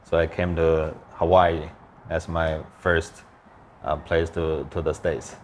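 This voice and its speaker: A man's voice: very deep voice, flat delivery, takes it slowly, a little hiss or hum. A man in his thirties from China with a Chinese accent, speaking plain, slightly broken English as an interview guest. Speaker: guest, land investor. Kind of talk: casual interview.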